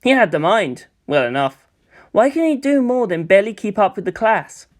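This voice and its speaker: A woman reading a story aloud as an expressive narrator. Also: mid-range voice, moderate pace. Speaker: audiobook narrator.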